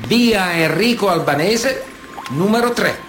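An actor playing a boastful Sicilian mafioso telling prison tales colloquially in a radio drama monologue. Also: male voice, high-pitched, lively intonation, unhurried, fairly bright tone, soft background music. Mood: boastful, nostalgic. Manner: colloquial, storytelling, cocky.

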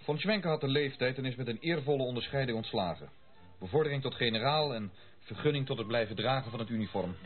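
An actor playing a courteous but firm gentleman who speaks formally and corrects others in a radio play. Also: medium pitch, expressive, fast, natural voice. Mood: grave, firm. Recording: muffled old radio recording, music behind.

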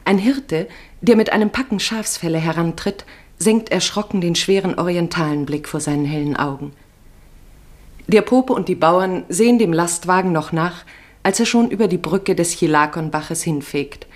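A woman giving measured, literary narration for a radio drama. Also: on the low side. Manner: measured, descriptive.

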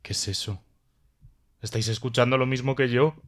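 A man's voice: medium pitch, fairly even intonation, moderate pace, very noisy, natural voice. A man playing a nervous, hesitant young trainee cameraman, reluctant and easily frightened, in a horror audio drama. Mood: uneasy. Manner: hesitant, anxious.

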